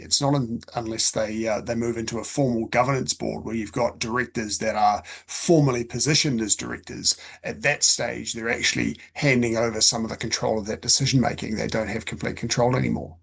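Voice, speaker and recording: A man with a husky, slightly rough voice at a middling pitch hosts an interview. He talks conversationally and in a measured way, framing each point before putting a question. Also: steady tone. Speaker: interview host.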